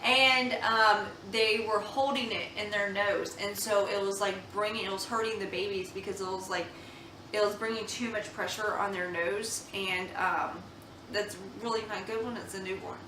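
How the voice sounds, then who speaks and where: Chatty young mom in an echoey room. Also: female voice, medium pitch, steady tone, moderate pace, background noise. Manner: chatty, earnest, with many ums and restarts. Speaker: mom vlogger reviewing baby products. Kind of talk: casual product review chat. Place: home room. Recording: echoey room, slightly far from mic.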